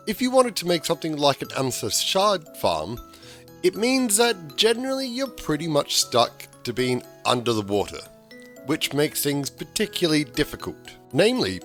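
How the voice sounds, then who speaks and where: A man, slightly hoarse because he is losing his voice, talking through a game-building tutorial in a chatty way with frequent restarts. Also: a little high, fairly bright tone. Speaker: gaming tutorial host. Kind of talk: casual game tutorial voice-over.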